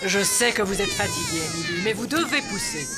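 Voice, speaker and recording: Firm cartoon midwife over loud music woman acting. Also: mid-range voice, very animated, fairly quick, natural voice. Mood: reassuring. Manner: directive, reassuring. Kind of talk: animated children's cartoon dub.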